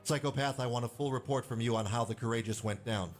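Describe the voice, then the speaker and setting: An actor playing a stern, weary squadron commander giving grave, hard-nosed speeches to pilots in a space game. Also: male voice, medium pitch, quick pace, lightly acted. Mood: rallying, firm. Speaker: military commander briefing pilots. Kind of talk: video game voice acting, military briefing speech. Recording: music behind the voice.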